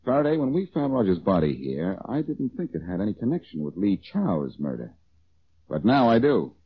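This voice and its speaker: A man's voice: fairly low pitch, sing-song, breathy edge. A man playing a wisecracking, cocky amateur detective with sardonic retorts, in an American accent and vintage radio drama style. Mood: shrewd, deducing. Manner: sardonic, quick with dry retorts. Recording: dull, muffled old-time radio recording.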